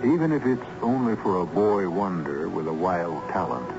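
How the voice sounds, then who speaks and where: A man narrates as host of an old radio drama. His low voice is animated and theatrical. The broadcast sounds muffled, with music clearly behind him. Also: normal speed.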